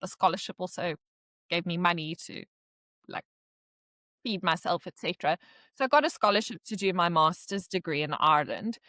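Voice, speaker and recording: Bright-voiced South African woman, chatty. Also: on the low side, lively intonation, moderate pace, pauses often, dry recording, clean studio sound. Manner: chatty, rambling, many "like" fillers. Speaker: podcast guest. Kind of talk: casual interview chat.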